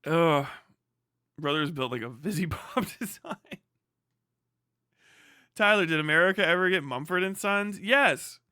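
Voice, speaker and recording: A man with a fairly high, bright voice chats off the cuff as a livestream host. He swears freely and rambles into joking, exaggerated rants. The sound is close and dry. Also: expressive, unhurried, long pauses, clear, resonant tone, dry recording. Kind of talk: casual rambling livestream chat.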